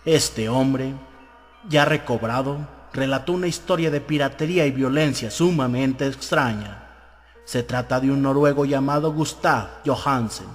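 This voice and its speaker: A man narrating an audiobook, reading out a newspaper report in a dramatized style. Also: mid-range voice. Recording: long hall reverb, music behind. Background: music.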